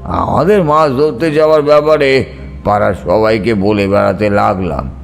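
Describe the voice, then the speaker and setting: A man's voice: fairly low pitch, normal speed, creaky. A man reading a scripted audio story as its narrator. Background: soft background music.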